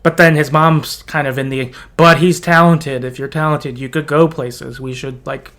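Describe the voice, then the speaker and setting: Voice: mid-range voice, fairly even intonation, moderate pace, fairly bright tone. A podcast host casually retelling a story in a loose, informal way with easy chuckles. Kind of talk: casual recap of a video game story.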